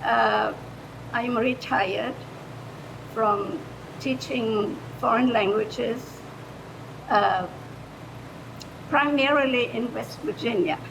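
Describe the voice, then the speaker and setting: Older woman, German accent, higher-pitched voice, halting improvised remarks, noisy rumbling room recording. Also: creaky. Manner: halting, hesitant delivery. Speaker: retired foreign language teacher, audience speaker.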